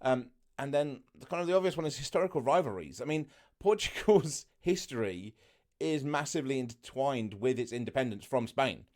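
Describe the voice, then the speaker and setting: A man with a naturally loud, mid-pitched voice chats straight to camera. His talk is loose and unscripted, with plenty of "um"s and side remarks, like a travel vlogger giving tips. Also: brisk. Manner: chatty, loose, full of fillers and asides.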